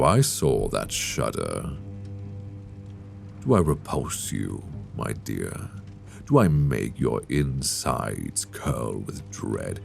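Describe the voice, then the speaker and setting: Sadistic gentleman vampire for roleplay audio: bottomless creaky bass, slow, savoring delivery, silky and menacing, close binaural mic. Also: exaggerated voice. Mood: menacing, teasing. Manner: courtly, teasing, menacing, savoring his words. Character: suave, sadistic male vampire lord courting his captive enemy. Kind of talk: intimate roleplay audio acting. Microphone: close binaural microphone. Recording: close binaural mic, clear background noise.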